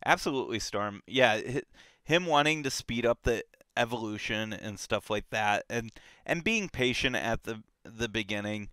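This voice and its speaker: A man talks through a book in a relaxed, animated way, stopping and restarting his sentences. His voice is bright and crisp, the sound dry and close, and his pace is unhurried. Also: fairly low pitch, dry recording. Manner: animated, lots of fillers and restarts. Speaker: book club podcast host. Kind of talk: casual book discussion.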